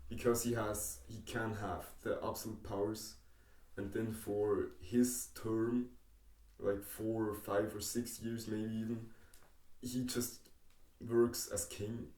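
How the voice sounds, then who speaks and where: A young man from Switzerland with a Swiss accent, English not his first language, slowly explaining politics over diagrams with many pauses and ums. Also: fairly low pitch, flat delivery, a little mellow, recorded from a distance. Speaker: educational YouTuber. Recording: echoey room, voice away from the mic.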